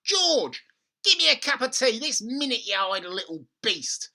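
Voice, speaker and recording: A storybook narrator voicing a nasty, grumpy, bossy old grandma who yells, scolds and cackles. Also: mid-range voice, sing-song, moderate pace, vocal fry, voice pushed up, heavily acted. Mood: demanding, indignant.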